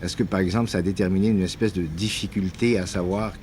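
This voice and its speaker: A man interviewing a guest for broadcast, asking measured, probing questions. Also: low voice, steady tone, a little mellow, slight background noise.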